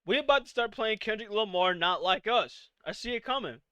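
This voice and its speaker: Male host, late 20s, high crisp voice, dry close mic, profane exasperated streamer rant. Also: animated, a little fast, pushed and bright, no echo. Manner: exasperated, profane, rhetorical questions. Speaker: podcast host. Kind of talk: live-streamed casual rant.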